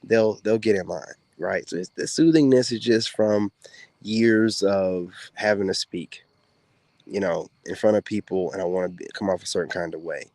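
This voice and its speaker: A soft-spoken man, a former soldier, talks casually to the camera to his women viewers in a relaxed, drawling way with many fillers. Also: low voice, moderate pace, no room sound. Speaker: content creator speaking to women viewers. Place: outdoors.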